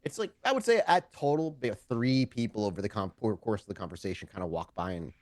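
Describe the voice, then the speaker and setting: A man runs a tabletop game with very lively intonation. His narration is improvised and wordy, often pausing to find a word, and the sound is dry. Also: lowish voice, no room sound. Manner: wordy, halting, searching for words. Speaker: game master.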